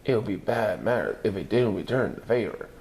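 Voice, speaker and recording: A man's voice: fairly low pitch, expressive, a little fast, creaky, darkish tone, slight background noise. A man voicing a genial, resourceful father in a children's storybook, with a friendly, reasoning manner.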